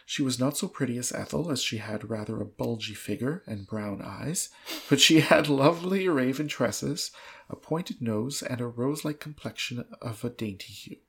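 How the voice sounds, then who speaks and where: Woman, low husky voice with creaky edges, podcast co-host, wry, dramatic reading and casual chat. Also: moderate pace.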